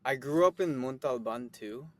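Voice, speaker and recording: A man's voice: medium pitch, normal speed, no echo. A man in his twenties from the Philippines, a guest actor giving easygoing, rambling interview answers with many fillers.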